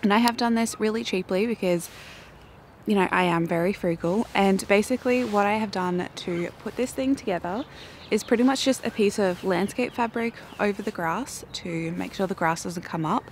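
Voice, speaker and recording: A young woman with an Australian accent and a croaky morning voice, chatting casually and self-mockingly to camera in her backyard garden. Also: medium pitch, normal speed, slight background noise. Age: young adult. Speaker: flower farming vlogger. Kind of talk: casual vlog talk to camera.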